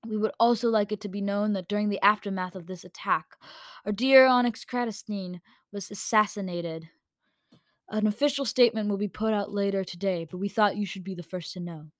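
A woman's voice: medium pitch, a little fast, no room sound, studio quality, close to an everyday voice. Woman playing a grave, sinister male leader. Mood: grave, ominous. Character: formal, sinister male leader making an official statement.

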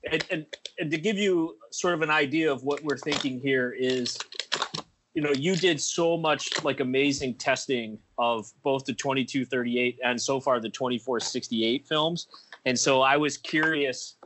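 A man's voice: medium pitch, normal speed, background noise. A man hosting a casual chat, hesitant, repeating words and using many fillers. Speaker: host. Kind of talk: casual conversation.

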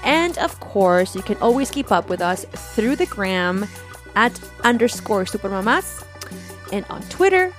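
A woman's voice: mid-range voice, sing-song, measured pace. Female podcast host, mid-range voice, candid and conversational, faint music underneath.

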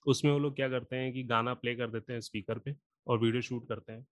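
A singer and rapper explaining patiently, step by step, in a casual podcast chat, with a sore throat from a cold. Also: male voice, mid-range voice, fairly even intonation, fast, no echo.

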